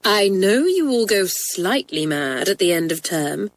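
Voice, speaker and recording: A woman's voice: mid-range voice, big pitch swings, unhurried. A woman voicing a strict but fair schoolmistress in a storybook, firm and precise. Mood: exasperated. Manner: firm, precise, scolding authority.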